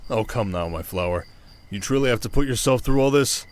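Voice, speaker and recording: A man playing a steady, protective desert king in an ASMR audio drama, speaking in a measured, intimate way. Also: medium pitch, slight background noise, close to an everyday voice. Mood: concerned, tender.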